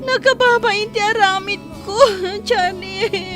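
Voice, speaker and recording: A woman's voice: extremely high pitch, fairly even intonation, slow pace, over music. A woman acting a hurt, ashamed young adopted daughter in an Ilocano radio drama, plaintive and emotional. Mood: ashamed, distressed.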